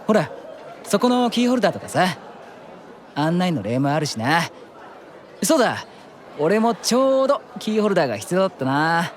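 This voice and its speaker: An actor playing a brash young male demon in an anime-style audio drama, rough and cocky but easily flustered into defensive stammering. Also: high-pitched, big pitch swings, normal speed, pauses often, a faint crowd. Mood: sly, coaxing.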